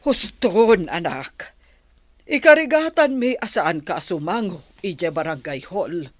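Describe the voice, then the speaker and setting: A woman's voice: on the low side, animated, unhurried, no room sound, clean studio sound. A woman playing a worried, fretful mother of an only child in a radio drama. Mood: firm concern.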